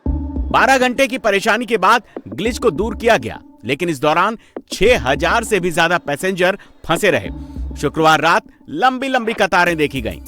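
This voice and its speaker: A man's voice: sits high, a bit bright. A man reading scripted television news narration in a dramatic, emphatic way.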